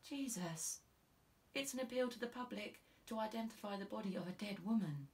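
A woman's voice: medium pitch, animated, normal speed, recorded from a distance, natural voice. A woman voicing a guarded, reluctant female detective sergeant in an audiobook, with a dry, curt, understated manner. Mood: irritated. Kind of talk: audiobook character voice.

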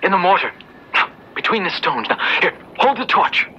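Intense, bright-voiced young clergyman, old radio drama. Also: sits high, big pitch swings, husky, full character voice. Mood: urgent, determined. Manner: halting, urgent, repeating himself. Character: earnest, devout, strong young clergyman rescuing a prisoner. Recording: dull, noisy old radio drama recording.

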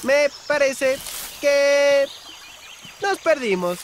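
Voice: high-pitched, expressive, drawn-out words, slight background noise, heavily acted. An actor voicing a bold, determined young male leopard cub with an eager, urging manner in a children's cartoon. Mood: searching. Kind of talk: animated children's cartoon acting. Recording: loud music behind.

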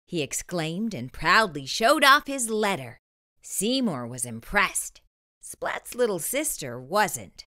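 A woman reading a children's storybook aloud expressively, with deliberate, dramatic pauses. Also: fairly low pitch, very animated, sharp tone. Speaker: storytime host and narrator.

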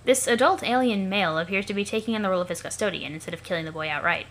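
A woman's voice: medium pitch, very fast, a bit bright, lightly acted. A woman voicing a wry narrator who fondly observes an alien child in mock-formal, lilting storybook style. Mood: amused, fond.

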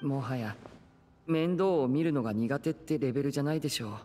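An actor playing a gentle, polite, lightly wry young man in a video game. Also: medium pitch, brisk, faint music behind, natural voice. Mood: wry, admiring. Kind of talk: Japanese game voice acting.

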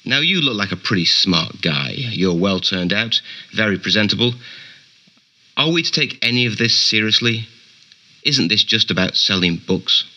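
An actor playing a glib, mocking male TV chat show host in a radio play, smooth, teasing and sceptical. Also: fairly low pitch, animated, moderate pace, bright, forceful tone, full character voice, rough recording.

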